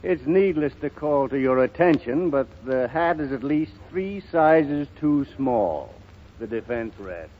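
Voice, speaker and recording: Theatrical lawyer, acted by a man, sweeping pitch. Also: animated, muffled recording, a little hiss or hum, lightly acted. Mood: confident. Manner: oratorical, dramatic pauses. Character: theatrical hard-drinking defense lawyer, shrewd and folksy. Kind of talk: 1930s radio drama acting. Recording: thin band-limited 1930s radio recording.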